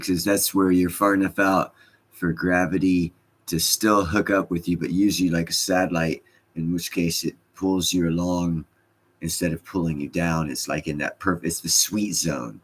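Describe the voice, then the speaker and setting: A man with a slight Scottish accent talks casually to his stream chat from home, swearing freely and laughing at his own jokes. His voice sits very low and carries a husky, rough edge. He rambles loosely, reacting to chat as he goes. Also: steady tone, moderate pace, fairly bright tone. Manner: profane, laughing, loose and joking. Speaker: livestream host reading chat.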